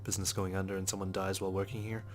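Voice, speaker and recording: An actor playing a dogged, skeptical male police detective in a visual novel game, speaking in a measured, serious, matter-of-fact way over music. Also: low voice, flat delivery, close to an everyday voice. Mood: brooding, resolute. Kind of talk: scripted visual novel narration and dialogue. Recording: music behind the voice.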